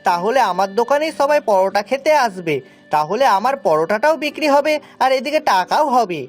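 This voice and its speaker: A woman voicing a high-pitched, eager young servant lad. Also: big pitch swings, brisk. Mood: earnest, eager. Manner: deferential, earnest, rustic colloquial speech. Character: eager, humble young male servant Pocha turned paratha seller. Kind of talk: cartoon story dialogue. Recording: music behind the voice.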